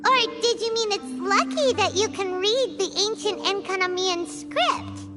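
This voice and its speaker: A woman's voice: extremely high pitch, measured pace. A woman voicing a small, eager, childlike fairy companion in a video game, with music behind. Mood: curious. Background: game background music.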